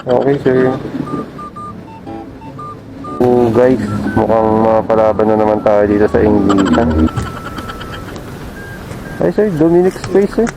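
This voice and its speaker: A man's voice: lowish voice, expressive, slow pace, long pauses, poor audio. A Filipino man working as a motorcycle taxi rider and vlogger, chatting casually and politely while out on the road. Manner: polite, deferential. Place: outdoors on a motorcycle in city traffic. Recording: loud music, vehicle engine behind.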